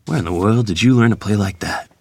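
Man acting a low-voiced, genial 1920s drama man. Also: fast, vocal fry, no room sound. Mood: courteous, admiring. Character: genial jazzman or wry rural ferryman father. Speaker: actor at a screenplay table read. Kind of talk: dramatic script reading.

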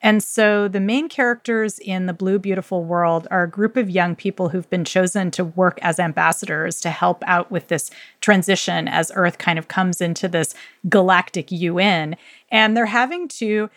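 A woman's voice: fairly low pitch, moderate pace, fairly bright tone, no room sound. A woman co-hosting a podcast, chatting casually and effusively in colloquial, slangy language as she recommends books. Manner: enthusiastic, effusive. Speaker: podcast co-host. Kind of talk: casual book recommendation chat.

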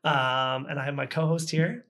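A man's voice: on the high side, fairly even intonation, normal speed, fairly bright tone. A man hosting a podcast in a casual, informal and enthusiastic way. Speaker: podcast host.

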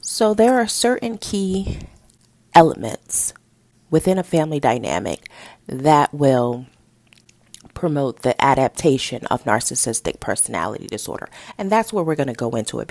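A woman hosting a mental health podcast, a little raspy and nasal from a head cold, teaching in an upbeat, encouraging way. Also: sits low, darkish tone, no room sound. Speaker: podcast host. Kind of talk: podcast education talk.

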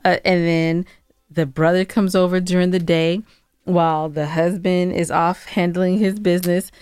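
A woman's voice: on the low side, flat delivery, normal speed, a little mellow, smooth, no echo, clean studio sound. A woman co-hosting a casual advice discussion, stating her opinions plainly and challenging the other speaker. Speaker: podcast co-host.

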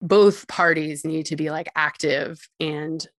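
A woman's voice: deep voice, steady tone, takes it slowly, dry recording, studio quality. A woman guest giving long explanatory interview answers about technology, often with a light laugh.

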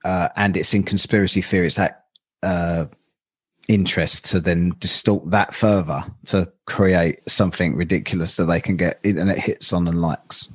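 A man's voice: low voice, fairly even intonation, moderate pace, breathy edge, no echo. A man in his thirties co-hosting a podcast, rambling and joking in casual banter. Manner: joking, rambling, laughs at own jokes. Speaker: podcast co-host.

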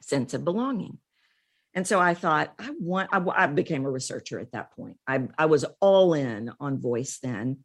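A middle-aged woman, telling her story as an interview guest in an expressive, emphatic way with dramatic pauses. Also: sits low, slightly rough. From Texas, United States.

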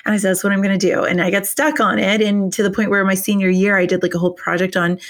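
A woman's voice: mid-range voice, fast talker. Chatty woman in her forties. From New Hampshire, USA. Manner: chatty, animated, self-deprecating. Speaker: podcast guest. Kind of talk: casual interview storytelling.

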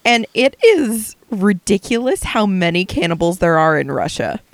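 A woman's voice: mid-range voice, very animated, normal speed, dry recording. A young adult woman co-hosting a casual true-crime podcast, recounting a case with chatty asides. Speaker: podcast co-host.

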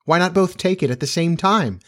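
A man's voice: sits high, brisk, fairly bright tone, voice pushed up. A man voicing an eager, helpful little girl in a storybook, earnest and dutiful. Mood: puzzled, earnest.

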